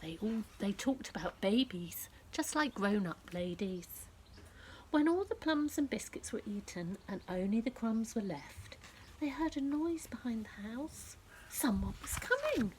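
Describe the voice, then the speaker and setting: A woman reading a picture book aloud to young children, speaking directly to them in an expressive storytelling style that often drops to a hush. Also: fairly high pitch, measured pace, slight background noise, low-quality recording. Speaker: children's story reader and presenter.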